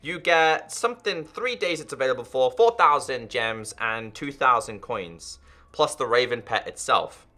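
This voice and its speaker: A man's voice: mid-range voice, lively intonation, unhurried, pushed and bright. A man in his twenties, a gaming YouTuber talking earnestly and directly to his viewers. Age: 20s. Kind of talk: direct-to-camera commentary.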